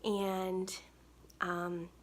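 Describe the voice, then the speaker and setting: A woman in her thirties with a soft, weak, breathy voice, chatting casually through a makeup tutorial at home to a close webcam. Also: fairly low pitch, monotone, very slow, slight background noise. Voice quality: gravelly, breathy. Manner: soft-spoken, chatty, many ums. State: weak, tired voice. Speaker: makeup brand owner. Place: home studio, indoors.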